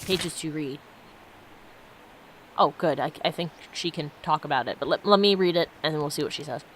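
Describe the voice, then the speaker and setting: A woman's voice: sits low, moderate pace, a bit bright, a little hiss or hum, poor audio. A woman giving casual game commentary, with wry asides between bits of dialogue she reads aloud. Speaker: let's play host.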